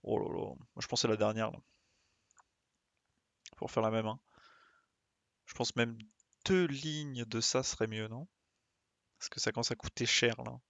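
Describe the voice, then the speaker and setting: A man with a creaky, gravelly voice streams a video game. He thinks aloud casually, muttering to himself and dropping in little playful sound effects. The sound is close and dry, with no room around it. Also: medium pitch, unhurried, long pauses, a bit bright, no room sound. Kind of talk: casual live gameplay commentary.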